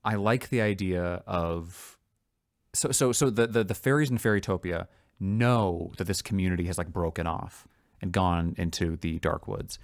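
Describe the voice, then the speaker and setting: Low voice podcast host, kinda halting but into it, brainstorming casually, dry close sound. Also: no echo. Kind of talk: casual creative brainstorming chat.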